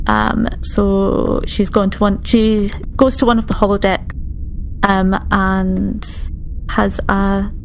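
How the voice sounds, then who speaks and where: A woman playing a tabletop game, describing her character's actions in a casual, thoughtful, halting way. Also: medium pitch, flat delivery, a little hiss or hum, rough recording. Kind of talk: casual improvised roleplay description.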